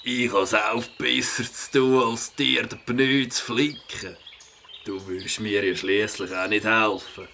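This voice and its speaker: Gruff Swiss German biker bully for a kids' audio play: boastful, forceful, gravelly drawl. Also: male voice, lowish voice, level tone, measured pace, slight background noise, heavily acted. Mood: mocking. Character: gruff, mocking adult rider of a rattly moped. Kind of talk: children's audio drama.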